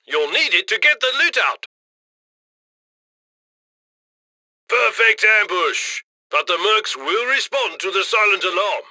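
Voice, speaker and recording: A brash, upbeat male mission handler from a video game, barking cocky instructions to a criminal crew. His voice sits high and cuts through with a sharp, very bright edge. The sound is dry and close, with punchy, commanding delivery. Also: big pitch swings, moderate pace, no echo, heavily acted. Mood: pleased, cocky. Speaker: mission handler giving instructions over comms.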